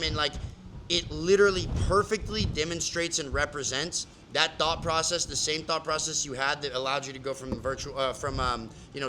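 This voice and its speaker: A man's voice: a little high, bright, forceful tone, a little hiss or hum, rough recording. A man coaching a client in a casual, emphatic way, full of "like" and restarts. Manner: casual, filler-heavy, emphatic explaining. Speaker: business coach. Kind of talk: live coaching session.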